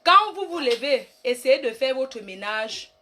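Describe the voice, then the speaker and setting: A high-voiced, bossy diva queen mother, played by a woman, holding court in a rambling comic monologue. She swings from grand announcements to trash-talking her critics. A faint hiss of noise sits behind her voice. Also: big pitch swings, a little slow, sharp tone, full character voice. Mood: preachy. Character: brash self-styled queen mother diva, boastful and bossy. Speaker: comic online personality. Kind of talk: comic live-stream monologue.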